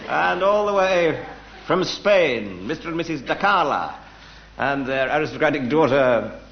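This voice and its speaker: A man's voice: fairly high pitch, expressive, measured pace. A man performing mock-serious, drawling wordplay as a panellist on a radio comedy show. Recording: muffled, very noisy live radio recording. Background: loud studio audience laughter.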